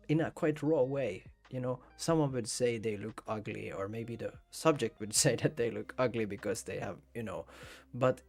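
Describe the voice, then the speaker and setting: A man's voice: lowish voice, vocal fry. A man from Finland with a Finnish accent, hosting a casual photography livestream and thinking aloud with hesitations and repeated words. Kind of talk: casual chat while editing photos.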